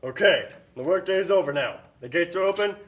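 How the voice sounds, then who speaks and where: A man's voice: high-pitched, animated, brisk, fairly bright tone. A man voicing a harsh, bullying factory boss in an amateur puppet show, curt and threatening. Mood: bossy. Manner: curt, threatening, barking orders.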